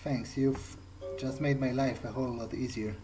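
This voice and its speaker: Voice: medium pitch, fairly even intonation, moderate pace, natural voice. A gamer voicing a wry, pragmatic vampire in an in-character playthrough, musing aloud in short, hesitant phrases over game music. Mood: polite, questioning. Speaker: let's play gamer. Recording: loud game soundtrack competing with voice.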